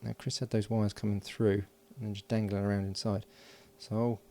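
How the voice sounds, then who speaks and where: A man talking himself through a hands-on electronics project, halting and thinking aloud with frequent restarts. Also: on the low side, flat delivery, mellow. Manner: halting, muttering, many restarts and fillers. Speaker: electronics hobbyist.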